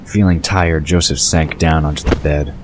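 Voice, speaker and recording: Very deep-voiced male narrator. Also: fairly even intonation, moderate pace, background noise. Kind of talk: scripted audio drama narration.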